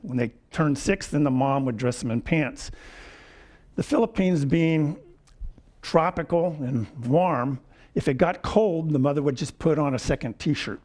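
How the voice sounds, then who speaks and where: A man in his seventies giving a lecture with personal stories, wry and chuckling, often clearing his throat. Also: a little high, a little slow, darkish tone. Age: 70s. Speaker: guest lecturer. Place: lecture hall.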